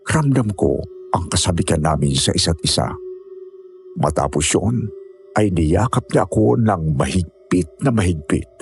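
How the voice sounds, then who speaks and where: A man with a husky voice reading scripted first-person narration for a horror story. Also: sits low, expressive, a little slow, faint music behind.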